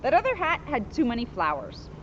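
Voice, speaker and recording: A woman's voice: sits high, wildly swooping pitch, brisk. A woman voicing a fussy, gushing storybook lady who loves hats. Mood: pleased, gushing. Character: Miss Peacock, gushing, fussy hat-loving lady. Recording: muffled, noisy outdoor recording.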